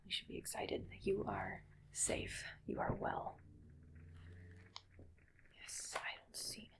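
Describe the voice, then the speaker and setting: A woman playing a gentle, weary cyborg soldier in a whispered ASMR roleplay, speaking breathily in slow, halting, soothing phrases. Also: natural voice. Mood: calm, reassuring.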